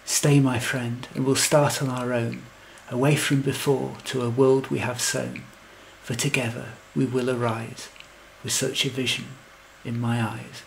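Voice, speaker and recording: A man's voice: mid-range voice, little pitch movement, measured pace, gravelly, slight background noise, low-quality recording. A man playing a lovelorn, anxious, wry fellow who tells his own comic story in earnest, wordy scripted lines. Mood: earnest, poetic. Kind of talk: scripted comic first-person narration and verse.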